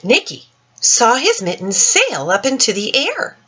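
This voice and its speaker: A woman reads a children's storybook aloud in an expressive, dramatic way, speaking directly to young listeners, with a husky voice. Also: a little high, a little slow. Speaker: storyteller reading a picture book to children.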